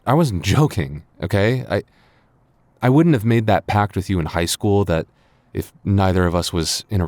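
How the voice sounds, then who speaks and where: Man acting deep-voiced, flustered, lovestruck young guy. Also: darkish tone, no echo. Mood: earnest, shy. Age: young adult. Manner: stammering, bashful. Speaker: voice actor. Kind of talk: boyfriend ASMR audio roleplay.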